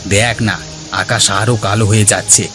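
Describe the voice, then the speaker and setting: A man's voice: lowish voice, steady tone, normal speed, music in the background, natural voice. A man voicing a brave, curious village youngster in a cartoon, confident and reassuring. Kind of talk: cartoon character dialogue.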